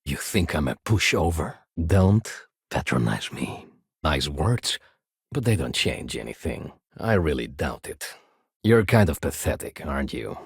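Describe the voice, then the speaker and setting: An actor playing a fussy, haughty luxury shopkeeper in a sci-fi video game, with a refined, expressive and snippy delivery. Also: male voice, a bass voice, a little slow, creaky, breathy edge, dry recording, studio quality, exaggerated voice. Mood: irritated, snippy. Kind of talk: video game voice acting, short standalone dialogue lines.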